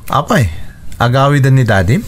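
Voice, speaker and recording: A man's voice: mid-range voice, moderate pace, lightly acted. An actor playing a playful, eager young man in a radio drama, with an Ilocano accent. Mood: curious. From Philippines.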